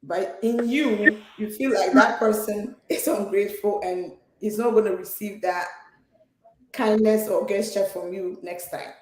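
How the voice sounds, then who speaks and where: A woman's voice: medium pitch, steady tone, unhurried, roomy sound, rough recording. A woman from Ghana, a guest in an interview, speaking earnestly with many fillers and repeated words. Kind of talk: conversational interview.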